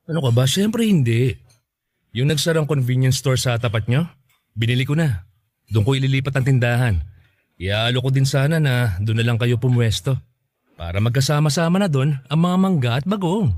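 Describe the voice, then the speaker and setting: A man's voice: medium pitch, normal speed, a little mellow, lightly acted. A man acting an earnest, humble mango farmer in a Filipino radio drama, speaking in a sincere, conversational way. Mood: reassuring.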